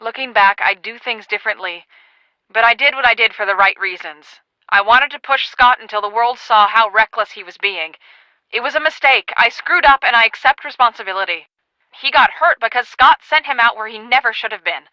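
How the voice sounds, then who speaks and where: A woman playing an earnest, take-charge storm-chasing project manager in a video game, speaking in a brisk, businesslike way. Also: fairly high pitch, bright, forceful tone, no echo, highs cut off. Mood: regretful.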